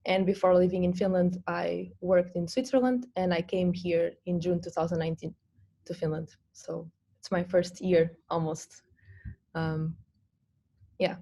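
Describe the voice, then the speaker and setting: A woman's voice: on the low side, steady tone, measured pace, creaky, mellow. A young adult woman from Portugal presenting an online design workshop over a video call, with frequent ums and restarts. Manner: hesitant, many ums and restarts.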